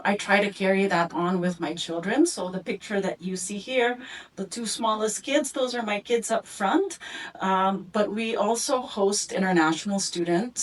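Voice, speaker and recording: A middle-aged woman presenting her family story in a reflective talk with many pauses. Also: medium pitch. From Philippines and Indonesia, raised abroad. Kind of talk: storytelling talk with photo slides. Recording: voice from a distance.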